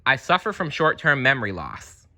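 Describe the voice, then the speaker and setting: A man's voice: mid-range voice, very animated, normal speed, pushed and bright, voice pushed up, heavily acted. A man voicing a friendly, scatterbrained female blue fish in a storybook, upbeat in manner. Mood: abrupt.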